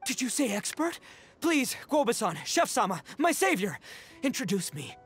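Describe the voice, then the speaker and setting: Casting: boastful young oni gang leader, bombastic and slangy, very animated pitch, music underneath. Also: male voice, normal speed, slightly rough, heavily acted. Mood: eager pleading. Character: brash, boastful, excitable young male oni gang boss. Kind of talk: animated game voice acting.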